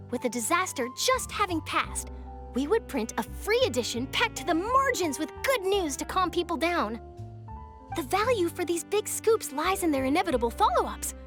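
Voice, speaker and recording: An eager young female reporter speaks in a very high, animated voice. She is chirpy and persuasive in polished game dialogue. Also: heavily acted. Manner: chirpy, persuasive, brisk enthusiasm. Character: bubbly, eager young woman newspaper reporter. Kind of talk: video game voice acting.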